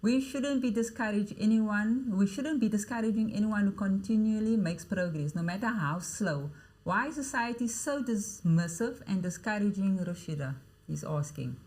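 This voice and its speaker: A woman's voice: mid-range voice, moderate pace, hardly any pauses, darkish tone, low-quality recording. Female interviewer, mid-range voice, reading audience comments aloud, encouraging. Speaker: host. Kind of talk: interview hosting.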